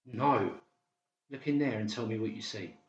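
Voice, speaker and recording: A man acts out a desperate male patient plotting his escape in an audiobook drama. The voice is fairly low, urgent and insistent. The recording sounds roomy and a little muffled. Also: moderate pace, lightly acted. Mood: urgent, determined. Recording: roomy, slightly dull sound.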